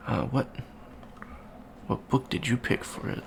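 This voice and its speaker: Gravelly, awkward young alien student voiced by a man. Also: medium pitch, animated, a little slow, background noise. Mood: awkward, chatty. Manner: hesitant, stammering, awkward small talk. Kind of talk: improvised ASMR roleplay, casual chat.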